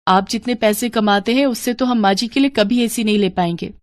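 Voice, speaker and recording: A woman voicing a gentle, devoted young wife in a dramatised moral story, earnest, soothing and respectful. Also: on the high side, a little fast, no room sound, lightly acted.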